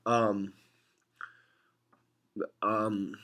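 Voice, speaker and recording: A young man with a hoarse voice, talking casually to camera in a reaction vlog, rambling, clearing his throat often. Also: on the low side, level tone, extremely slow, fairly bright tone. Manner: rambling, frequent throat clearing and filler words. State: hoarse from screaming. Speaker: MMA fan vlogger.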